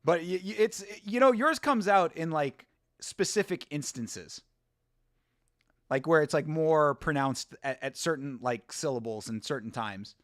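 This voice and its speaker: A fairly high-voiced man hosting a loose live watch-along, chatting and rambling between viewer comments. He swears casually and laughs a lot. The sound is dry and close, with little room in it. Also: expressive, measured pace, dry recording. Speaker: host.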